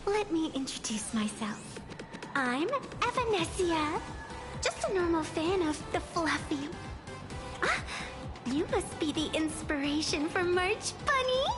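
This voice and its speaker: A woman's voice: extremely high pitch, full character voice. A woman voicing a sweet, adoring young fox-girl fan in a gushing, theatrical anime game style. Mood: bright, polite.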